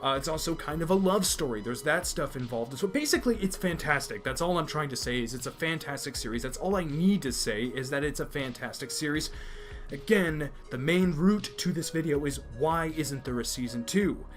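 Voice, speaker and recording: A man's voice: on the high side, animated, fairly quick, faint music behind. A young man from America hosting an anime review video, emphatic and opinionated, often repeating his points. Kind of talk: enthusiastic review commentary.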